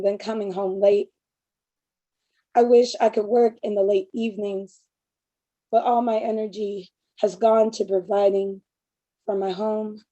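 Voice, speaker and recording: A woman reading her own poem at an online open mic over a video call, her voice going hoarse, in a calm, measured way. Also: mid-range voice, dull audio. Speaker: poet.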